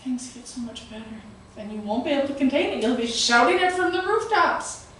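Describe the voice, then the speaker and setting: A middle-aged woman preaching in a small church, her strong, carrying voice pitched a little high. She is picked up from across the room with no microphone, so the sound is distant and heavily echoey, with a faint hiss underneath. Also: brisk. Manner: loud voice that carries, animated and gesturing. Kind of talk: sermon. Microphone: far camera, no microphone.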